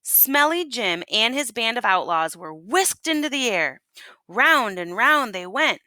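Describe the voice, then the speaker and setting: A woman teacher reading a storybook aloud to children and pausing to ask them questions. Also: a little high, big pitch swings, sharp tone, no echo.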